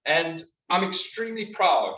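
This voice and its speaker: A man's voice: fairly high pitch, very animated, unhurried, pushed and bright. A man with a South African accent giving a community talk as a health practitioner, chatty and earnest with frequent ums. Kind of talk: community talk to a live audience. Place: community hall. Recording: echoey hall, speaker far from the mic.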